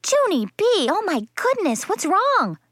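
A woman's voice: fairly high pitch, wildly swooping pitch, moderate pace, fairly bright tone, no room sound. A woman voicing a concerned, suspicious mother with a dry, sarcastic streak in a children's audiobook. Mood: alarmed.

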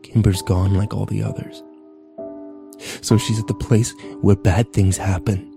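A man's voice: mid-range voice, moderate pace, natural voice. A man voicing an urgent, pleading, hot-headed teenage boy who is distraught, in a dramatic story. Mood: tearful, low. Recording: music behind.

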